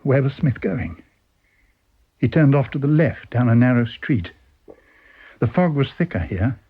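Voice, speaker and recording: A man's voice: medium pitch, lively intonation, unhurried, breathy edge, phone-quality sound. A man with a British accent reading a story aloud as dramatic scripted narration. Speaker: story reader.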